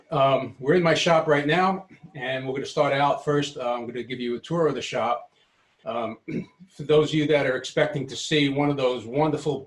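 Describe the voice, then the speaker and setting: A man in his sixties with a New York accent, giving a casual, unscripted workshop tour in a hesitant, wryly self-deprecating way. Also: medium pitch. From Brooklyn, New York City. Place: home basement-like workshop, indoors. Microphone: webcam, later handheld phone.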